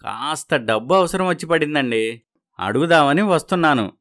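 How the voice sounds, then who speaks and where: A man's voice: fairly high pitch, animated, moderate pace, a bit bright. A man playing a cheeky, pushy villager who borrows money, in a moral story. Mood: wheedling.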